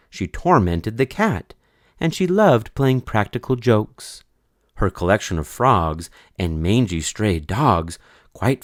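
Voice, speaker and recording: A man reading a children's story in verse aloud, with an expressive, measured, sing-song delivery. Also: low voice, dry recording, clean studio sound. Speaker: storybook reader.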